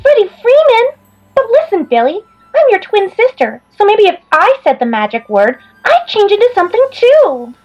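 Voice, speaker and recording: A woman's voice: extremely high pitch, wildly swooping pitch, moderate pace, muffled recording, faint music behind, full character voice. A woman playing a sweet, earnest rich girl in an amateur radio-play reading of an old comic. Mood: breathless wonder.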